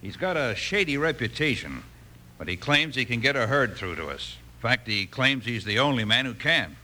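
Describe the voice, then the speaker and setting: Tense frontier army captain, faint hiss. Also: male voice, mid-range voice, very animated, normal speed, bright, forceful tone. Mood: weary, determined. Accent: American. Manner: grave, clipped, earnest. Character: weary, earnest, middle-aged frontier army captain. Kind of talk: old-time radio western acting. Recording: faintly noisy old radio recording.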